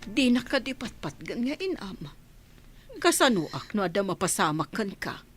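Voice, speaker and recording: A woman's voice: mid-range voice, big pitch swings, normal speed, soft background music, lightly acted. A woman playing an anxious, pleading mother in an Ilocano radio drama, with an Ilocano accent and an emotional delivery. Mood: gentle pleading. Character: anxious, pleading mother fretting over her grown child.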